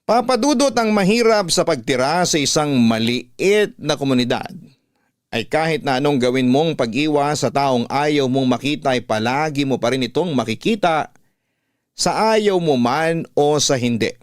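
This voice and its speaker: A man with a Filipino accent reading a personal story as a radio host, in measured, sentimental dramatic narration. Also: a little high, animated, dry recording, studio quality. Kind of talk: dramatic scripted narration of a letter.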